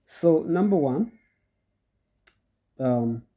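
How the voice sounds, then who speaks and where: A man's voice: fairly high pitch, lively intonation, drawn-out words, dark tone. A middle-aged goat farmer with a cold, hosting his channel and explaining step by step in a chatty way to his viewers, often repeating himself. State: congested from a cold. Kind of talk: casual instructional talk to viewers.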